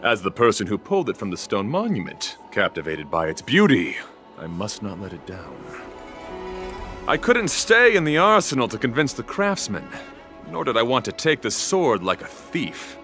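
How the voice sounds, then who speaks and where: A man's voice: on the low side, huge pitch swings, normal speed, sharp tone, faint music behind, heavily acted. A man playing a chivalrous young knight in a video game, speaking in courtly, flowery, formal phrases with earnest devotion. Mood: courteous, calm. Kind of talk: theatrical video game voice acting.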